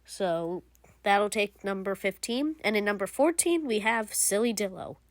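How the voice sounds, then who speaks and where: A woman's voice: medium pitch, animated, measured pace, a little hiss or hum. A young woman hosting a gaming channel, giving casual, rambling game commentary full of fillers and restarts.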